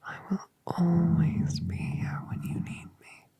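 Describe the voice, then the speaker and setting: Colossal doting dragon mother, a woman whispering her: breathy, very slow, hushed ASMR. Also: fairly low pitch, flat delivery, heavily acted. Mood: tender. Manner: soft, slow, tender reassurance. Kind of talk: ASMR roleplay audio.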